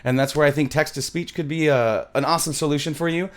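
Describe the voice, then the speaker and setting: A middle-aged man presenting a music software tutorial in a casual, loose, thinking-aloud way, recorded on a studio mic in a small home room. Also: fairly high pitch, fairly even intonation, fast talker. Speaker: music production tutorial host.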